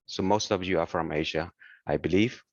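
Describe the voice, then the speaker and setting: A man who speaks English as a second language, hosting an informal online tutorial in a careful, halting way. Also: low voice. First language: not English. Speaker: webinar host and software trainer. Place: indoor room, online webinar. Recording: muffled, roomy online call audio.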